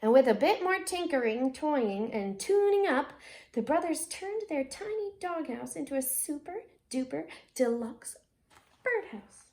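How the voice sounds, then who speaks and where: A woman's voice: sits high, sing-song, unhurried, a little mellow. A woman with an American accent reading a picture book aloud and talking directly to children as the host. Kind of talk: expressive picture-book read-aloud with chatty asides.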